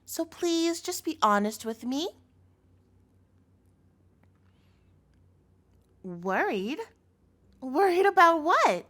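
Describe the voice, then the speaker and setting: A woman's voice: sits high, big pitch swings, slow, sharp tone, full character voice. A woman playing an obsessive chef girlfriend in a scripted audio roleplay, sugary and coaxing with a threatening edge, speaking intimately to the listener. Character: obsessive yandere chef girlfriend, sweet but menacing.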